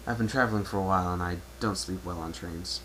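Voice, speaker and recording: A man's voice: sits low, fast talker, a bit bright. Man playing young stallion, polite, hesitant, reserved, everyday voice, amateur fan drama, faint noise. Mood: guarded. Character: young male pony traveler, polite, reserved. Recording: noisy amateur home recording.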